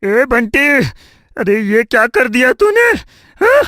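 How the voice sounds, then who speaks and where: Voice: medium pitch, big pitch swings, takes it slowly, sharp tone, no room sound, heavily acted. Cheeky young sidekick, acted out, horror audio drama, easily frightened, colloquial, drawling, dry sound. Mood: alarmed. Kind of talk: Hindi horror audio story acting.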